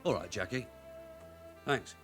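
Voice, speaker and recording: An actor playing a shrewd, blunt middle-aged police detective sergeant in a radio drama. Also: male voice, on the low side, lively intonation, measured pace, a bit bright, faint music behind. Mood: probing, terse. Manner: blunt, dry, probing.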